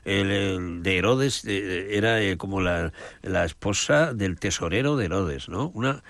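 A priest in a relaxed conversation, explaining the Gospel with rambling asides and frequent checks with "¿m?". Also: male voice, low voice, a little slow, vocal fry, dry recording. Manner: rambling, searching for names, frequent "¿m?" check-ins. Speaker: priest and chaplain guest.

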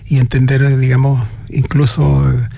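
A man's voice: medium pitch, level tone, moderate pace, gravelly, mellow, dry recording. A Chilean man speaking as a guest agronomist on a radio interview, hesitant and full of fillers. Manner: hesitant, with many "eh" and "digamos" fillers and restarts.

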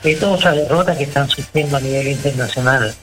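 A man's voice: medium pitch, fast talker, fairly bright tone, low-quality recording. A man with a Chilean accent co-hosting a radio talk show, giving long opinionated commentary with many restarts. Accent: Chilean Spanish. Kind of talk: casual political talk on radio.

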